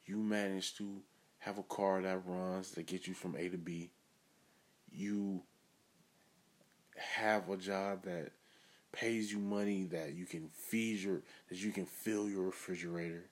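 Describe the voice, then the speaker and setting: A man's voice: low-pitched, steady tone, a little slow. A man hosting his own podcast, giving an earnest, self-assured motivational monologue. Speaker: podcast host.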